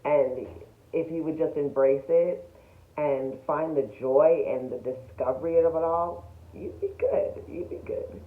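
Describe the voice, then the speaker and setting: A woman's voice: low-pitched, measured pace. A woman talking casually and emphatically to viewers in a self-development vlog, often posing rhetorical questions. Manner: emphatic, animated, rhetorical questions, frequent chuckles. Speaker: vlogger. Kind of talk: casual spiritual self-development talk to camera. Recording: roomy echo, thin phone-like sound.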